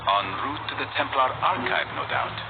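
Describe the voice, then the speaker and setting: On a phone-like, noisy recording, a cool and determined assassin speaks , acted by a man. He is mid-pitched, terse and controlled, with a dry edge suited to a video game hero. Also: big pitch swings, moderate pace, lightly acted. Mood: curious, businesslike. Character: cool, determined, dryly taunting young male assassin. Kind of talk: video game dialogue acting. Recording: tinny narrow-band audio with noise.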